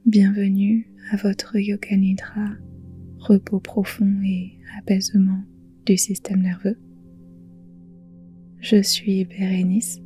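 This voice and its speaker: A woman guiding a relaxation meditation in a soothing, hushed, breathy voice, leaving long pauses between phrases. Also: mid-range voice. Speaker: yoga nidra guide.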